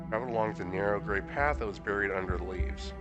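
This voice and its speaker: A hoarse man streaming a horror visual novel, reading the dialogue aloud and adding sarcastic comments, sometimes jumping into high-pitched disbelief. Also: fast. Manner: casual, sarcastic commentary with sudden high-pitched incredulous outbursts. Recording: music playing behind the voice.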